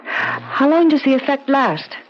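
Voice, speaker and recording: A woman's voice: high voice, animated, a little slow. Anxious, earnest young female sleuth. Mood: anxious, probing. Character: anxious, suspicious, determined young woman sleuth. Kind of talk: 1970s radio drama acting. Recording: muffled old radio drama recording.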